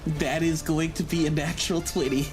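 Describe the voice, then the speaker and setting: Higher-voiced man playing a tabletop game. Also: flat delivery, moderate pace, loud music behind the voice. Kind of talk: casual in-character play.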